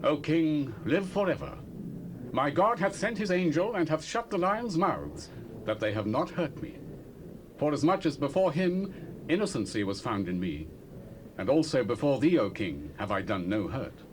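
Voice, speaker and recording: An actor playing a devout, faithful prophet, declaiming visions solemnly in a 1970s dramatized audio drama, from a hissy cassette tape. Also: male voice, on the high side, big pitch swings, moderate pace. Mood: reverent.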